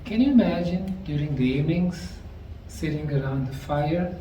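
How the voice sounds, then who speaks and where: A middle-aged man slowly narrating a documentary on location at ancient ruins, explaining the sites like a tour guide. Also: fairly high pitch, dark tone, a little hiss or hum. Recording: roomy, distant mic with faint outdoor ambience.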